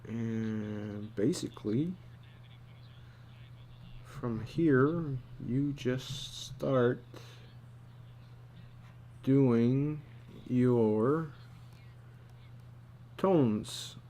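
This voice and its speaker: A man giving a casual drawing tutorial, thinking aloud in a halting, muttering way as he works. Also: mid-range voice, dark tone, slight background noise. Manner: halting, muttering to himself while working. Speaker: storyboard artist, tutor.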